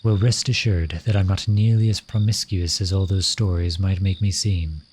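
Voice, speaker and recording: A lovesick royal at a masquerade, played by a man, speaks in a fairly low voice. His phrasing is formal and courtly, addressed intimately to one listener as in a romantic audio roleplay. Crickets and night ambience are clearly audible behind him. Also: steady tone, moderate pace, darkish tone, slight background noise, natural voice. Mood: composed, candid.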